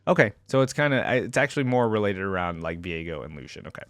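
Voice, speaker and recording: A man's voice: low voice, lively intonation, fast talker, a bit bright, clean tone, dry recording, clean studio sound. A young man hosting a reaction video, commenting casually as he goes.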